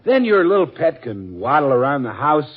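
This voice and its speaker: A man's voice: fairly high pitch, very animated, moderate pace, breathy edge, faint music behind. A man playing a jovial, wisecracking family friend and announcer with a jaunty salesman's manner in a vintage radio comedy. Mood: smooth selling. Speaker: announcer and comic sidekick. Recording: dull lo-fi old radio recording.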